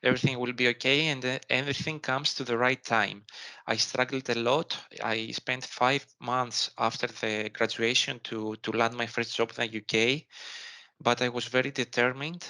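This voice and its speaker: Greek man, young, bright and crisp, flat delivery, encouraging alumnus on a video call. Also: mid-range voice, moderate pace. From Greece. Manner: earnest, encouraging, searching for words. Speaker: alumnus panelist. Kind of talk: online panel advice.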